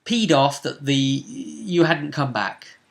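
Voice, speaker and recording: A man's voice: a little high, animated, takes it slowly, creaky. A man casually recapping a tabletop role-playing session as game master, telling it as a story and checking for agreement. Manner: storytelling, seeks agreement with tag questions. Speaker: game master and show host.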